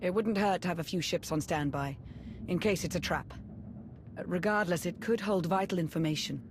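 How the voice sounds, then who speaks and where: A woman's voice: fairly low pitch, fairly quick, background noise, natural voice. Actress as earnest, businesslike female military adviser. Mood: focused, cautious. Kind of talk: scripted video game acting.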